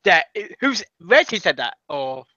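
A British man joins a livestream as co-host, joking around and talking back to the chat. His pitch swings widely and his voice is bright and forceful. It comes through a dull, lo-fi microphone. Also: moderate pace. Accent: British English. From Britain. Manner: animated, joking, talks to the chat. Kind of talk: casual chat.

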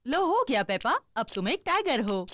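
Cheery rabbit face painter, high bouncy voice, dry studio. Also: female voice, sing-song, normal speed, a bit bright, dry recording, full character voice. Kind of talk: cartoon dub acting.

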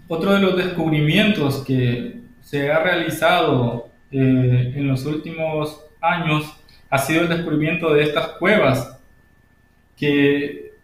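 A man in his thirties with a Honduran accent, presenting informally and hesitantly with many pauses on a video call. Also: a little high. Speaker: guest presenter, agronomist. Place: indoor room at home. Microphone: video call device. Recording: very echoey room, video call.

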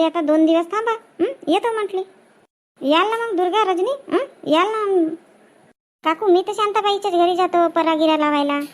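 A woman's voice: high-pitched, natural voice. A woman voicing a chatty village farm labourer in a cartoon comedy.

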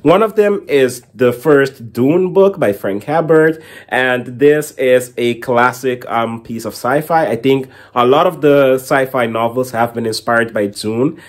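A man's voice: mid-range voice, unhurried. A young adult man from the Caribbean hosting a book channel, talking casually to camera in a rambling, filler-heavy way. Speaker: book reviewer and channel host. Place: small indoor room at home with bookshelf.

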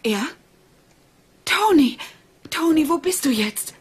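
A woman's voice: high-pitched, lively intonation, takes it slowly, a little hiss or hum. A woman playing the anxious wife of a kidnapped man in a German crime audio drama, urgent and agitated.

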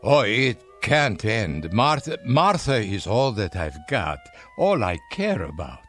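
An actor playing a frail, polite, devoted husband of seventy-nine, speaking hesitantly in a radio drama. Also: male voice, on the low side, very animated, takes it slowly, fairly bright tone, faint music behind, exaggerated voice. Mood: devoted, anxious.

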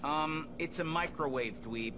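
An actor playing a hot-headed, sarcastic teenage turtle in a video game, talking in street-tough slang. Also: male voice, on the high side, unhurried, background noise, close to an everyday voice. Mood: sarcastic, impatient. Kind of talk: video game cutscene acting.

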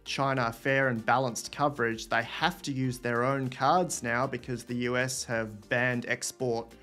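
A man's voice: mid-range voice, level tone, a little slow, bright, forceful tone, faint music behind. A man in his thirties hosting a livestream Q&A from a room at home, talking casually into a webcam, thinking aloud and reading out viewer questions. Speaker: host answering viewer questions.

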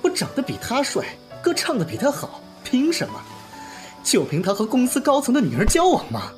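A man's voice: extremely high pitch, huge pitch swings, normal speed, soft background music, full character voice. A man playing an earnest, lovestruck young pop star in an audio drama, speaking in an emotive, confiding way. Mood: indignant. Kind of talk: Chinese audio drama acting, heartfelt monologue and dialogue.

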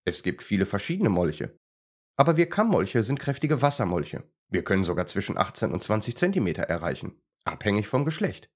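A man's voice: fairly low pitch, sing-song, brisk. A man voicing a proud, enthusiastic male crested newt in a children's story, chatty and keen to explain. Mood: proud, friendly.

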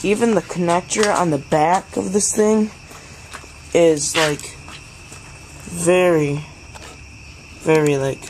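A man's voice: on the high side, steady tone, takes it slowly, pauses often. A young male hobbyist giving a casual, rambling, slangy product review outdoors at night. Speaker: hobbyist vlogger. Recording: noisy outdoor recording with insects.

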